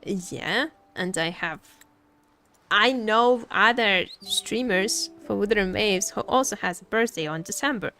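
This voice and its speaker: A woman streamer giving casual live commentary on a game, expressive and playfully complaining, often repeating her phrases. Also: mid-range voice, unhurried. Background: game music.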